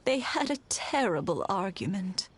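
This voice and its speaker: A woman's voice: mid-range voice, big pitch swings, moderate pace, a little hiss or hum, natural voice. A woman playing a kindly, wistful elderly wife in a video game. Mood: concerned. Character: gentle, nostalgic elderly wife, kindly and teasing. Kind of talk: video game dialogue acting.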